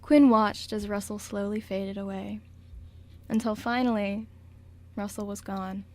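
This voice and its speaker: A woman reading scripted radio drama narration in a solemn, measured storytelling manner. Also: mid-range voice, no echo.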